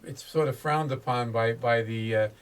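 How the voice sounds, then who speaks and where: A man's voice: mid-range voice, moderate pace, clean tone, recorded from a distance. A man who runs a store, answering a radio interview in a hesitant way and listing his goods plainly. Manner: hesitant, lists items plainly. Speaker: guest store owner.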